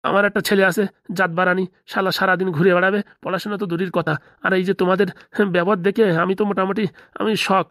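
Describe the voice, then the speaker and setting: A woman voicing a hospitable, grumbling middle-aged landlord in a comedy cartoon, effusive and quick to complain. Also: mid-range voice, little pitch movement, fairly bright tone, dry recording, studio quality, natural voice. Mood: admiring, grumbling.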